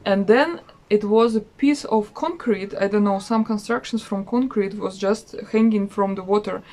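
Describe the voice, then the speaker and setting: A woman in her thirties with a Russian accent, chatting casually about a trip in a travel vlog with many pauses and fillers. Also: medium pitch, a little mellow. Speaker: travel vlogger.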